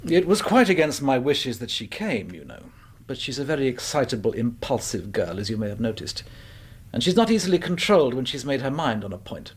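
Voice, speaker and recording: A man's voice: mid-range voice, big pitch swings, brisk, slightly rough. An actor playing a bland, slippery man of about thirty in a radio drama, with prim, evasive politeness. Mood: ingratiating, uneasy.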